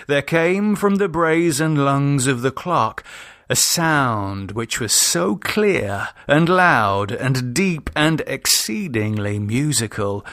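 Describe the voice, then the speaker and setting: A man narrating a classic gothic short story in a measured, dramatic, deliberate manner. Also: mid-range voice, a bit bright, dry recording, studio quality. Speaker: audiobook narrator.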